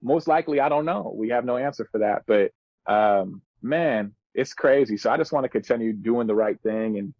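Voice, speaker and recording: A thirty-something man talks in a relaxed, slangy way, sincere and reflective, like a musician guest on a podcast. His voice sits at a medium pitch. The recording is very dry and a little dull. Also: moderate pace, no echo. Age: 30s. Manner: laid-back slangy speech, earnest and reflective. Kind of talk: casual podcast storytelling.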